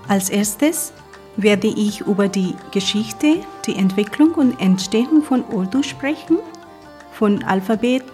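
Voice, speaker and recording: A woman's voice: medium pitch, animated, warm tone, soft background music. Woman presenting her language. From Punjab, Pakistan. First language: Punjabi. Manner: careful, measured delivery with small grammar slips. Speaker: guest presenter. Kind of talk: reading a prepared talk on her language.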